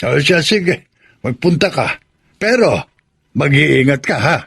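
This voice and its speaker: A man's voice: on the high side, animated, takes it slowly, creaky, a bit bright, slightly rough, dry recording, studio quality, heavily acted. An actor playing a kindly old farming grandfather in a radio drama, speaking in a fatherly, admonishing way. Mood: fond. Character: kindly old grandfather, rural farmer, gentle and protective. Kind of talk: Tagalog radio drama acting.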